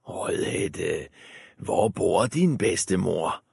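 A man doing a hushed, scheming wolf. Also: low voice, lively intonation, creaky, husky, no room sound, studio quality, exaggerated voice. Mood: sly. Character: sly hungry male wolf, soft-spoken villain.

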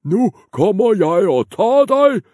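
A man voicing a menacing troll who guards a bridge, shouting his threats. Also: mid-range voice, animated, no room sound, studio quality. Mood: bellowing.

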